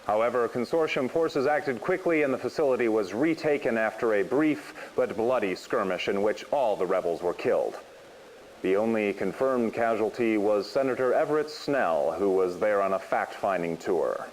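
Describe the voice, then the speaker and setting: Man playing a low-voiced, impassive newsreader. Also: normal speed, natural voice, low-quality recording. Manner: formal, even, announcing. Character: crisp, impassive male television newsreader. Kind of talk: scripted news bulletin in a game. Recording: faint hiss, broadcast from a screen.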